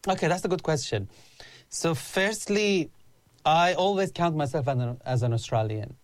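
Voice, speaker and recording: A man's voice: on the high side, animated, moderate pace, dry recording. Earnest Afghan-born man, dry close sound. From Afghan, raised in Iran. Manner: earnest, with frequent restarts and "like" fillers. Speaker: counsellor, interview guest. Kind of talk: casual interview chat.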